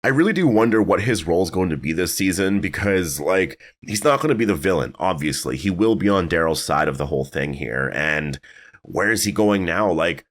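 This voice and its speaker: A deep-voiced man talks through his thoughts in a loose, rambling commentary, his voice raspy and slightly worn. He fills pauses with "uh" and "like" as he speculates. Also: lively intonation. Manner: rambling, filler-heavy, thinking aloud. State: raspy, strained voice. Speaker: YouTube commentator. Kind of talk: casual video commentary.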